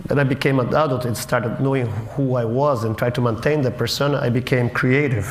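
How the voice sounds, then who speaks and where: A middle-aged man from Brazil, giving a humorous conference talk in a wry, self-mocking way with many halting restarts. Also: medium pitch, steady tone. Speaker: conference speaker. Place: conference auditorium stage. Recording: roomy hall with faint noise.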